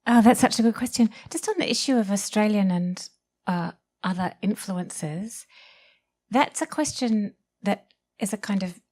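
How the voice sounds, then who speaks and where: A woman's voice: medium pitch, a little slow, a little mellow. A woman speaking as a panellist in a literary discussion, thoughtful and articulate, making her points with emphasis.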